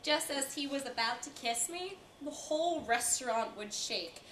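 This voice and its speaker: A woman's voice: sits high, animated, normal speed, a bit bright. A young woman on stage playing a reluctant, embarrassed old American lady who reminisces in a flustered, confiding way. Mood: dreamy, confiding. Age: young adult. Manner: flustered, confiding, dramatic stops and starts. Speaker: student stage performer. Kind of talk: theatrical stage monologue. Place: indoor college performance before audience. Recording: echoey room, distant mic, faint hiss.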